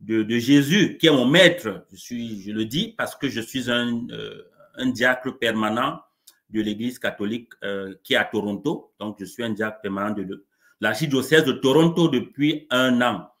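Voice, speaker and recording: A man, a coach being interviewed live over a video call, speaking in a warm, measured way with frequent restarts. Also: mid-range voice. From Cameroon. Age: 40s. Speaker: coach and guest.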